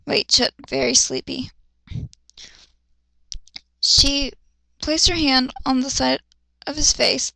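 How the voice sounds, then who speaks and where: High-pitched, bright-voiced sarcastic woman. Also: gravelly, no echo, poor audio. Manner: sarcastic, exasperated, foul-mouthed. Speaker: reader of fan fiction. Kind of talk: dramatic reading with mocking commentary.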